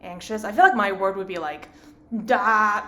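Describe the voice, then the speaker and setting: A woman's voice: medium pitch, moderate pace, bright, forceful tone. A woman from Hong Kong moderating a virtual panel, upbeat and chatty.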